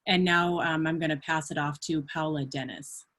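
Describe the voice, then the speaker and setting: A woman with a low-pitched voice speaks as an associate director who advises students. She explains office services in an informal presentation style. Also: steady tone, normal speed, fairly bright tone.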